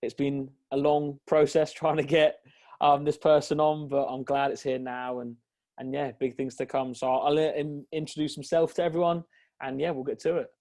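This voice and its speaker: A man's voice: a little high, level tone, normal speed, a little mellow. A man hosting an interview podcast on a call, chatty and easygoing, with many fillers. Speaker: podcast host. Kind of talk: casual interview. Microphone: video call.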